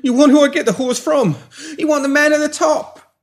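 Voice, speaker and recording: A man performs a coarse street thug's voice pushed high and loud, babbling in panic. The pitch leaps around wildly as he pleads and gabbles. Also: very animated, fairly quick. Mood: desperate babbling. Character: male pimp Pinky, coarse thug turned panicked.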